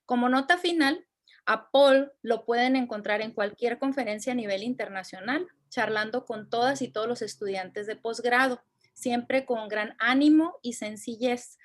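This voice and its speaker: A woman with a fairly high voice hosts a university session. She introduces the guest in a formal, friendly way. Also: a little fast. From Mexico. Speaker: event host introducing the speaker.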